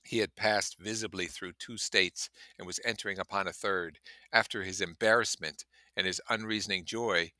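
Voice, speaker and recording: A man's voice: low voice, fairly even intonation, normal speed, pushed and bright, no room sound, clean studio sound. A man reading a novel aloud expressively, with casual explanatory asides. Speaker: reader and commentator.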